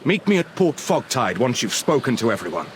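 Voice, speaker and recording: A man's voice: medium pitch, very animated, fairly quick, slight background noise, natural voice. An actor playing an earnest male ship captain recruiting a crew in a video game cutscene. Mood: resolute. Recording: orchestral music behind the voice.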